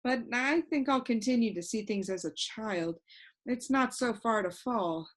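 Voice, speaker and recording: A woman's voice: fairly high pitch, a little slow. A woman voicing an earnest, polite and curious young boy in a children's storybook. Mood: agreeable. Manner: serious, polite.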